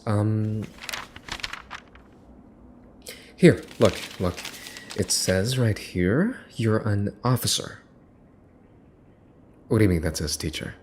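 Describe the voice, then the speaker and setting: A smug, teasing mafia boss's son, acted by a man for an ASMR roleplay. His voice sits fairly low and takes its time, with theatrical pauses and a short fuse for incompetence. A light layer of background noise sits behind him. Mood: flustered. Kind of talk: ASMR boyfriend roleplay acting.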